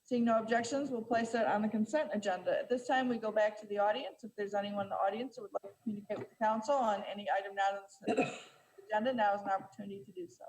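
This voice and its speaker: A woman presiding over a city council meeting, speaking in a measured way with frequent fillers and restarts. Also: medium pitch, a little mellow, rough recording.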